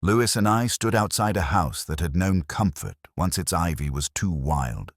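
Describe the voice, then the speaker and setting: Very deep-voiced male narrator, measured. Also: expressive, dry recording, clean studio sound. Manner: measured, literary, reflective. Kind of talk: first-person detective story narration.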